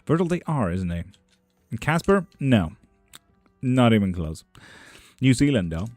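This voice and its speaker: A man's voice: medium pitch, big pitch swings, a little slow, a little mellow, dry recording, faint music behind. A young man streaming tarot readings and answering his chat in a loose, joking way, with plenty of swearing. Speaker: tarot reader and witchcraft streamer. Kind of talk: casual livestream chat, reading out viewer messages.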